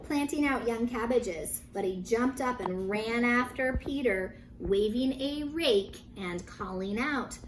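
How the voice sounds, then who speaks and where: A woman teacher reading a children's story aloud with animated emphasis, dramatic pauses and brief asides to her young listeners. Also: fairly high pitch, slight background noise, low-quality recording.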